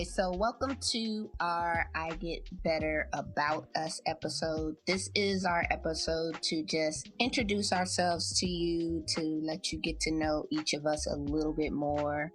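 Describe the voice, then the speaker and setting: A woman in her thirties, a podcast host, telling her life story in a relaxed, chatty, reflective way. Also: sits low. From United States. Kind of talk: casual personal storytelling.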